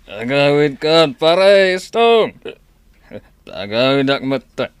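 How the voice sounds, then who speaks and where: An actor with an Ilocano accent playing an easygoing drinking buddy in a radio drama, talking in a hesitant, drawn-out way. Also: male voice, on the high side, very animated, clean tone, no echo. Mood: casual, amused. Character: easygoing male drinking buddy of a village drunkard.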